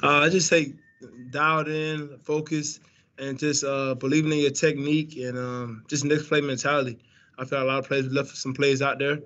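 A young man fields questions at a post-game press conference over a video call, relaxed and rambling, with plenty of fillers and restarts. His voice sits in an even, narrow pitch band. The audio is dull and lo-fi, like a muffled webcam. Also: normal speed. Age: young adult. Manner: laid-back, many fillers and restarts. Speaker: college quarterback. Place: indoor press room, branded backdrop.

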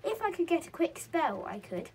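A woman's voice: extremely high pitch, big pitch swings, normal speed, a little mellow, recorded from a distance, a little hiss or hum, low-quality recording. A woman reading the part of an obliging, practical male pixie carpenter in a storybook. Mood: helpful.

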